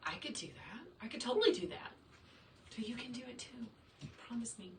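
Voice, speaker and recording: A woman's voice: a little high, expressive, fairly quick. A woman chatting upbeat and casual as she teaches wreath making in her home workshop, talking straight to viewers and answering their comments. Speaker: wreath maker teaching a craft. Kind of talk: casual livestream tutorial reading viewer comments. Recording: roomy, distant sound with faint room noise.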